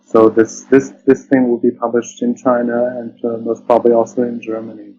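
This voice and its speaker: A man being interviewed over a call, talking casually and hesitantly, often pausing mid-phrase and repeating himself. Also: medium pitch, monotone, mellow. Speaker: interview guest, poet and translator. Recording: dull lo-fi call with clear noise.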